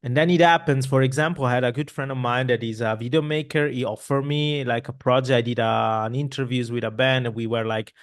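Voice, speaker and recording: A man hosts a casual podcast interview from a home room, speaking into a boom mic over a video call. He rambles loosely, backing up and restarting his sentences as he goes. His voice sits at an ordinary middle pitch for a man. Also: brisk, hardly any pauses. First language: Italian. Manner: rambling, many restarts and fillers, animated hand gestures. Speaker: podcast host. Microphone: boom mic with headphones, video call.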